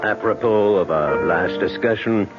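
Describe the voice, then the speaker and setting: Actor as courtly, low-voiced menacing creditor. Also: male voice, measured pace, natural voice. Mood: smoothly threatening. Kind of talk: 1970s radio drama acting. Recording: dull old radio recording with music.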